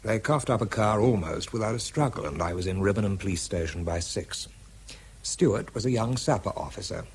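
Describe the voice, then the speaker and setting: An actor playing a weary, wry wartime weapons researcher with a British accent, narrating and talking in a dry, understated way. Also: male voice, fairly low pitch, expressive, brisk, a little mellow, slight background noise. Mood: weary, matter-of-fact. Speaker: lead actor and first-person narrator. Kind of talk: radio drama narration and dialogue.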